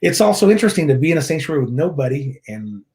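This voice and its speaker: A man's voice: a little high, big pitch swings, fast talker, a little mellow. Chatty man from Mexico, restarts often. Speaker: church worship director, guest. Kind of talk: casual interview chat.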